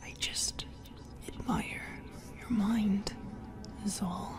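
Woman playing a slow, whispering, seductive demoness. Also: medium pitch, steady tone. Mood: tender menace. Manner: breathy, lingering whisper, playful and menacing. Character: seductive, teasing female sleep paralysis demon, possessively affectionate. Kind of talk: ASMR roleplay. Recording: music behind the voice.

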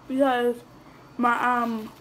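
A teenage girl talks through a mukbang vlog, her voice a little high, her speech rambling and full of restarts. She chats between bites into a phone camera on the table in front of her. A faint hiss sits under her voice. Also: flat delivery, takes it slowly, a bit bright. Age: teens. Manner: rambling, chatty, with frequent restarts and fillers; talks while eating, mouth sometimes full. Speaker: vlogger. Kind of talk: casual mukbang chat answering viewer questions. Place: small indoor room at a table.

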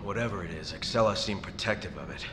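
An actor playing a determined, tough action hero in a video game, with an urgent, forceful delivery. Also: male voice, low-pitched, expressive, fast talker, noisy background. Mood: tense. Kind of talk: dramatic video game cutscene acting. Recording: voice under loud action music.

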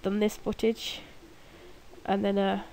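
A woman's voice: mid-range voice, level tone, takes it slowly, vocal fry, mellow, rough recording. A young woman with a cold and a blocked nose, rambling and mocking herself as she talks over her gameplay alone in her room at night. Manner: rambling, self-mocking, giggly. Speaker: gaming video creator. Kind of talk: casual gameplay commentary.

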